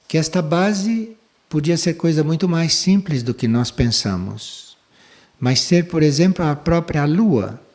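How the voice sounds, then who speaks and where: An older man giving a slow, measured spiritual lecture, pausing often between phrases. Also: on the high side, a little mellow. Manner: slow, measured, with long pauses. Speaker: spiritual teacher giving a talk.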